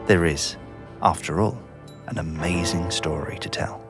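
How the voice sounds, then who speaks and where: A man's voice: low-pitched, unhurried. A man narrating a scripted children's story. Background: music.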